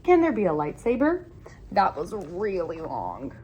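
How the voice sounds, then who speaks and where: A woman reading a children's picture book aloud in an animated, expressive storytelling manner with emphatic phrasing. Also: on the low side, a little slow, muffled recording, a little hiss or hum.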